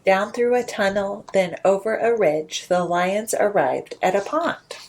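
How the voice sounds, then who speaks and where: A woman hosting a children's storytime, reading aloud in an expressive, deliberate storytelling manner. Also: mid-range voice, slight background noise, rough recording. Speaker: librarian storytime host. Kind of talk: expressive read-aloud of rhyming children's picture book.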